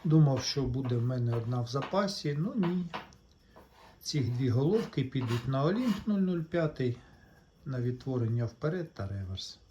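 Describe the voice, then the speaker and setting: Man, hobby channel host, mid-pitched, unhurried delivery, casual demonstration, short friendly-address remarks, faint noise behind. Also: lively intonation, warm tone. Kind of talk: casual hands-on demonstration vlog.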